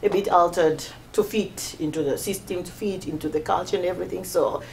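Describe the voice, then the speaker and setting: A woman in her thirties, originally from Tanzania, speaks in a lowish voice as she presents to a small group. She talks informally and hesitantly, with plenty of fillers and restarts. A faint hum of room ambience sits behind her. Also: a little fast, creaky, a little mellow, rough recording. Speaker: guest speaker.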